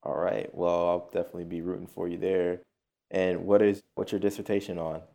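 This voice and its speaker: A man's voice: deep voice, little pitch movement, brisk, gravelly, mellow. A man hosting a podcast interview in an upbeat way, often repeating his words. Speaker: podcast host.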